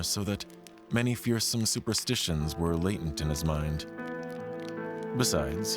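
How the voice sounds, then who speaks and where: A man telling a scripted frontier tale as a dramatic narrator, in a measured, formal, literary way. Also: deep voice. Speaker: storyteller narrating an audio play. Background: music under the voice.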